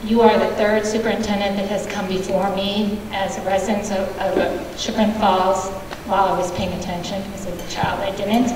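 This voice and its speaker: A woman resident making an earnest, persistent public comment at a community meeting. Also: medium pitch. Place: large meeting hall. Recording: echoey hall, distant mic, background noise.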